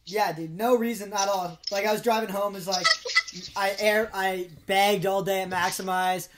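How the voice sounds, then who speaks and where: A young man hosting a podcast, chatting casually in a rambling, profane, energetic way. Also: high-pitched, normal speed, heavy background noise. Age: young adult. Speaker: podcast host. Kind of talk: casual chat. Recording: echoey room.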